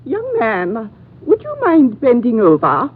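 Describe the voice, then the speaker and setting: A woman's voice: on the high side, wildly swooping pitch, slow, breathy edge, full character voice. A fussy older society lady. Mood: flustered. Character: older wealthy lady robbery victim, fussy. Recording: tinny noisy 1950s radio broadcast.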